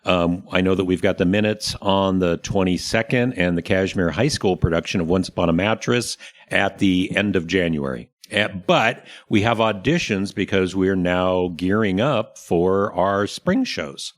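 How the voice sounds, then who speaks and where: A man's voice: low voice, moderate pace. An American man co-hosting a podcast, talking casually about local theater and upcoming events. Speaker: podcast co-host.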